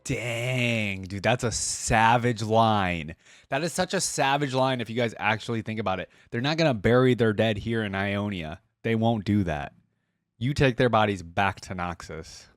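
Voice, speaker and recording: Guy doing chill reaction commentary, lowish voice, slangy, dry close recording, bit of music. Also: normal speed, no echo. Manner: slangy, appreciative interjections. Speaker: reaction video host.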